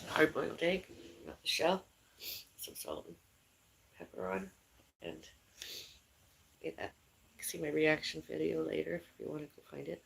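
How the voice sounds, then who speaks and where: A woman with a raspy voice, rambling casually on a live stream from her home kitchen. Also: low-pitched, animated, measured pace, long pauses, rough recording. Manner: rambling, chatty, calls out to someone in another room. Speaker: vlogger.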